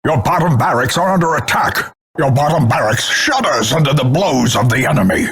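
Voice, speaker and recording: An actor playing a grim, scornful older male announcer in a video game, grandiloquent and portentous, with a gravelly, husky voice. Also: a little high, animated, normal speed, a bit bright, exaggerated voice. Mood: urgent warning. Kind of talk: theatrical scripted game announcements.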